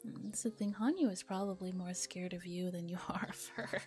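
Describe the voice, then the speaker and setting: A woman with a soft, breathy, often whispery voice reads a visual novel ASMR-style on stream with relaxed, playful asides, close to the mic. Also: on the low side, a little fast. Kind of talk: ASMR reading with casual commentary. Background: music.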